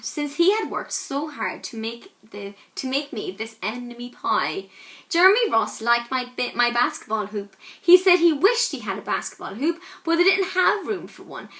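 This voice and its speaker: Female storyteller for a children's picture book: high, bright voice, dramatic emphasis and pauses. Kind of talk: expressive read-aloud storytelling.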